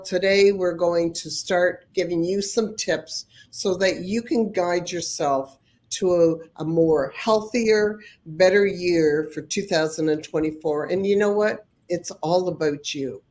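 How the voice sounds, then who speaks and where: A woman's voice: on the low side, unhurried, a little mellow. A woman hosting a motivational podcast, a little nasal from a cold, earnest and prone to repeating words as she starts a thought. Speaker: podcast host. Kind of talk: motivational chat.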